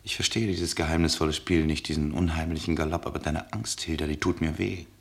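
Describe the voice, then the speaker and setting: An actor playing a tender, troubled traveller in love, speaking huskily and intimately in a radio play. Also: male voice, rumbling low, flat delivery, brisk, heavily acted. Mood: worried, tender. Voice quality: husky, gravelly. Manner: intimate, pleading. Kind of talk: mid-century German radio play acting.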